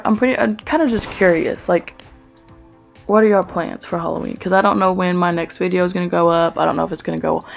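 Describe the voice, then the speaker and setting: A woman's voice: fairly low pitch, gravelly. A young woman, chatting in a rambling voice-over for her cleaning vlog with lots of fillers. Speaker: cleaning vlogger. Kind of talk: casual voice-over chat.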